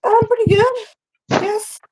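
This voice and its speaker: A woman's voice: very high-pitched, steady tone, normal speed, a little mellow. A woman from Canada chatting on a call, with a scratchy voice and a cough after being sick, laughing often and joking about herself. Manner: giggly, self-deprecating. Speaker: guest reader. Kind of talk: casual video call chat.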